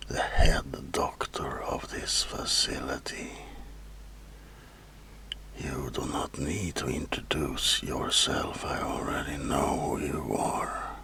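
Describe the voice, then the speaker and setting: Horror ASMR villain, male performer: condescending asylum doctor, very deep and sharp-edged whisper, deliberate, mocking delivery. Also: fairly even intonation, vocal fry, full character voice. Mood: smugly menacing.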